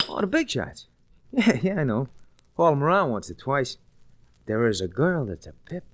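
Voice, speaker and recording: A grumbling 1940s radio taxi driver, chatty and full of slang. The pitch is middling but jumps around a lot, and the sound is dry. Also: male voice, sing-song, normal speed, dry recording. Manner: slangy, colloquial wisecracking. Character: grumbling, chatty working-class male cab driver. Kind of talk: 1940s radio drama acting.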